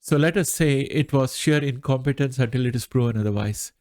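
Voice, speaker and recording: A man's voice: mid-range voice, darkish tone, no room sound. Nasal middle-aged man, dry close sound. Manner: measured, frequent "uh" pauses. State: recovering from allergy. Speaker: finance channel host. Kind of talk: solo market commentary.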